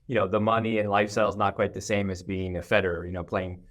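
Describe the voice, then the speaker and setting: A man's voice: on the low side, steady tone, quick pace. A man hosting a podcast in a conversational interviewing style. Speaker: podcast host.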